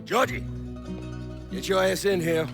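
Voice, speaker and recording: An actor playing a blunt, beleaguered, foul-mouthed middle-aged mob boss in a video game cutscene. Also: male voice, high-pitched, steady tone, measured pace, creaky, over music. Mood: furious, exasperated. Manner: blunt, profane, bossy.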